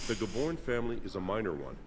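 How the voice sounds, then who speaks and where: An actor playing a solemn, dignified elder dwarven keeper of records in a fantasy video game, speaking formally and gravely. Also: male voice, fairly low pitch, sing-song, fast. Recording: game audio with clear background noise.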